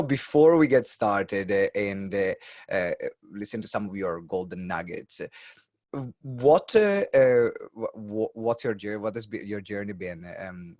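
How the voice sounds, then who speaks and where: A man's voice: fairly low pitch, a little mellow, no room sound, studio quality. A man hosting a business podcast interview, upbeat but hesitant, with many restarts. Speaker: podcast host.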